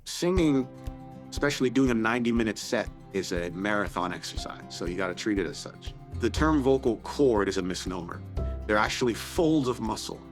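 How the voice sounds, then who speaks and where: A man with a fairly low voice explains singing in a measured, teacherly way. He is a working singer speaking in an interview. Music plays clearly underneath him. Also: animated. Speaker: professional singer. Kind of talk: interview talk. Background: music bed.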